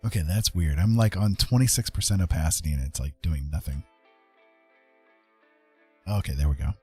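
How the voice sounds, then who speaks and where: A man with a fairly low voice talks casually through an art livestream. He rambles and hesitates, working things out aloud as he goes. Faint music plays behind him. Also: lively intonation, moderate pace, dark tone, husky. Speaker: streamer giving art tutorials. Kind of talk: casual livestream chat while painting.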